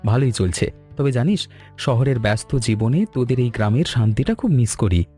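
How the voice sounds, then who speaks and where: A man's voice: mid-range voice, expressive, normal speed, mellow, slightly rough, soft background music. A man playing a cheerful young married man in a cartoon story, speaking affectionately and familiarly. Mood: nostalgic, friendly. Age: young adult.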